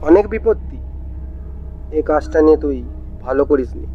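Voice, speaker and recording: A man's voice: fairly high pitch, lively intonation, normal speed, phone-quality sound, a little hiss or hum, close to an everyday voice. A man playing a grave fortune-telling guru in an audio story, making oracular pronouncements to a disciple. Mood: grave warning.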